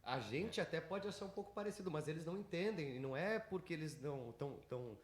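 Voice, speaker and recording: A man telling a lively anecdote on a school podcast. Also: fairly high pitch, quick pace, roomy sound. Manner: lively storytelling, animated quoting. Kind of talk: anecdotal casual chat.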